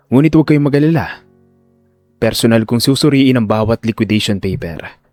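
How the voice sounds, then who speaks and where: A man's voice: mid-range voice, darkish tone, natural voice. A man voicing a resolute male neurosurgeon in an audio drama, formal and respectful but firm and defiant. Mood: politely challenging.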